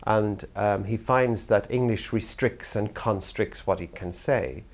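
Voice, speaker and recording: A man's voice: fairly low pitch, measured pace. An older Irish man from the theatre world gives an earnest, thoughtful talk to camera. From Ireland. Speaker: youth theatre practitioner.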